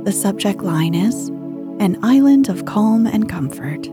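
A woman hosting a sleep podcast, reading scripted narration in a hushed, soft, unhurried manner, close to the microphone. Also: medium pitch, expressive, over music. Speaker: podcast host and bedtime story reader.

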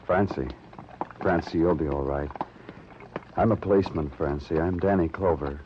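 An actor playing a weary American police detective in an old radio noir drama, somber and deliberate in speech. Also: male voice, very deep voice, background noise. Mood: gentle, grave. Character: weary, brooding male big-city police detective. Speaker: narrator and lead detective. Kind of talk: old-time radio noir acting, poetic narration. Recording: dull vintage radio recording, loud music behind.

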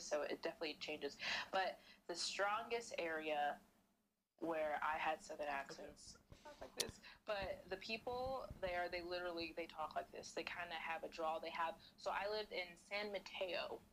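A woman's voice: lowish voice, moderate pace, fairly bright tone, slight background noise, rough recording. A woman casually telling a meandering story about the way people talk where she once lived, with many fillers. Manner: rambling, fillers, explains earnestly. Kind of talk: casual anecdote.

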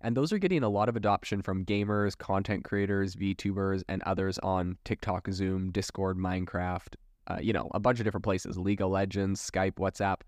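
A man's voice: sits low, steady tone, moderate pace, dry recording, clean studio sound. A man hosting a solo tech news podcast, talking in an enthusiastic, conversational way. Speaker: podcast host.

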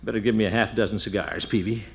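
A middle-aged man playing a pompous, blustering bachelor uncle in a 1940s radio sitcom, with booming chuckles. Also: fairly even intonation, fast, creaky. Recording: dull old radio recording, faint hiss.

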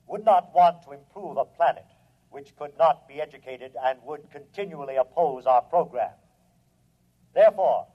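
An actor playing a calm, superior alien envoy in a 1950s radio drama, speaking in a formal, measured, condescending way. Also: male voice, a little high, close to an everyday voice. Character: calm, superior male alien envoy demanding Earth's surrender. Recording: tinny, band-limited old radio broadcast.

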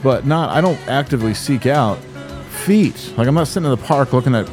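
Loose, profane man with lively pitch. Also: a little fast, music in the background. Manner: loose, profane, mumbling asides. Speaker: podcast co-host. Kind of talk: casual banter. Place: apartment room.

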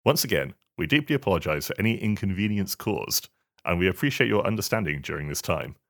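A man's voice: deep voice, sing-song, quick pace, a bit bright, no room sound, studio quality. A man talking casually on a podcast, sometimes reading a statement aloud. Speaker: podcast panellist.

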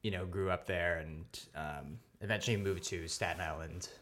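A man's voice: low-pitched, moderate pace, fairly bright tone. A man from New York City, a comedian guest talking in an easygoing, loose way, peppered with "like" and "um". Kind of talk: casual interview chat.

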